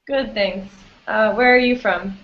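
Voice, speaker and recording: A woman's voice: medium pitch, measured pace, slight background noise. A woman teaching an English class online, friendly and full of ums and you-knows. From United States. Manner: friendly, many fillers like um and you know. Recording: echoey, dull lo-fi audio.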